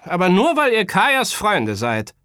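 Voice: medium pitch, wildly swooping pitch, a little slow, fairly bright tone, clean tone, dry recording, studio quality, voice pushed up. A storyteller voicing a businesslike twelve-year-old boy tailor in a children's audiobook.

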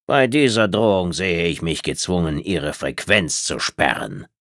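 A man voicing a cold, formal female reptilian housekeeper who speaks slowly and condescendingly. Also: low voice, lively intonation, fairly bright tone, no echo, studio quality, full character voice. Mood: icy. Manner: slow, icy, condescending.

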